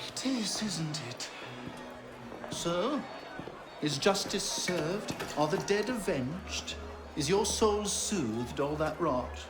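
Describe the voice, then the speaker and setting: A man's voice: sits high, lively intonation, slow. An actor playing a flamboyant, sardonic king of the Paris underworld in a video game, with a theatrical, mocking manner. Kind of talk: dramatic game cutscene acting. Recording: roomy echo under loud music.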